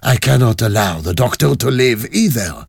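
A man's voice: mid-range voice, lively intonation, normal speed, gravelly, husky, no echo, studio quality, pitched above the performer's own voice. A man voicing a vengeful revolutionary woman villain in a storybook, cold, emphatic and menacing.

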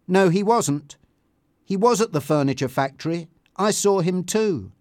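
A man's voice: sits high, animated, unhurried, darkish tone, no room sound, clean studio sound, pitched above the performer's own voice. A man voicing a friendly, matter-of-fact young man who speaks directly. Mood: firm, apologetic.

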